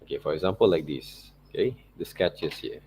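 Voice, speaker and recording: A man's voice: low voice, expressive, moderate pace, a little mellow, a little hiss or hum. A man giving an informal tutorial as a teacher, often checking in with little tag questions. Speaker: art teacher. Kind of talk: informal screen-share tutorial commentary.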